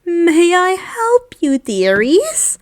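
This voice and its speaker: A woman's voice: very high voice, huge pitch swings, very slow, pitched above the performer's own voice, full character voice. A woman voicing a kindly, fussing older female shopkeeper in a story, welcoming and sing-song in manner.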